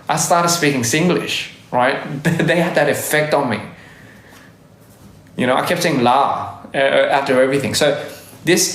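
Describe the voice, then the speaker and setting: Guy with a half aussie half american accent chatting on a livestream, rambly, restarts a lot, echoey room. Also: mid-range voice. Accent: mixed Australian and American English. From Australia. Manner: rambling, self-correcting, laughs often. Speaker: livestream host. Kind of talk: casual livestream chat answering comments.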